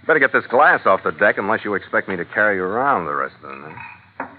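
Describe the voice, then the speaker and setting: An actor playing a hard-boiled, suspicious ship's skipper in old-time radio noir style, terse and sardonic. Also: male voice, on the low side, very animated, quick pace, slight background noise. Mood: wry. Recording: tinny vintage radio recording, faint music.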